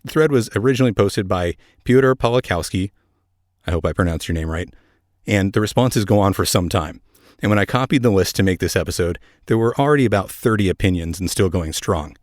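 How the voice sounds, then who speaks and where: A man with a fairly low voice narrates as a podcast host. His delivery is scripted yet conversational, with wry little asides. The sound is very dry, free of room echo. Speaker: air traffic controller.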